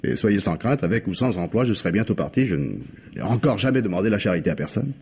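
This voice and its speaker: Brooding middle-aged husband, French radio drama: low register, husky, proud, dry irony and bitterness. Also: male voice, very animated, quick pace, a little mellow, heavily acted. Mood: wounded pride. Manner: bitter, guarded, ironic. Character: proud, brooding husband and former tropical forester.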